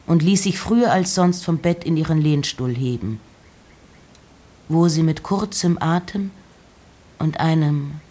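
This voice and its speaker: A reader delivering letters and poems in a measured, hushed and breathy voice, with deliberate pauses. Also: medium pitch. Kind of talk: literary reading of letters and poems.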